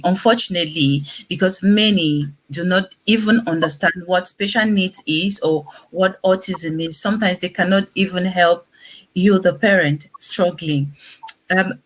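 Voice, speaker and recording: A woman's voice: on the low side, a bit bright, poor audio. A woman hosting an online talk show, welcoming guests on a video call. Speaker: host.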